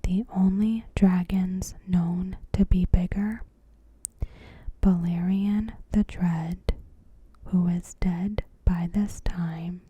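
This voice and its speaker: A woman ASMR host giving commentary in a hushed, whispery, breathy voice, in measured short phrases. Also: fairly low pitch, fairly even intonation, no echo. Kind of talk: ASMR, softly spoken TV episode commentary.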